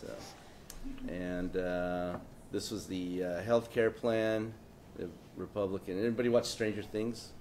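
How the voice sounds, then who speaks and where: A man who is a political cartoonist, speaking on a panel, joking wryly and pausing often with fillers. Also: deep voice, steady tone, darkish tone, a little hiss or hum. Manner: wry, joking, halting with many fillers. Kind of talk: casual panel talk presenting his work.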